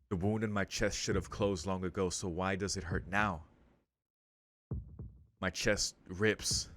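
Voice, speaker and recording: A man's voice: on the low side, a bit bright, slight background noise. A man hosting a let's play, reading visual novel text aloud and breaking in with casual, slangy commentary. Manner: interjects with casual slang and swearing.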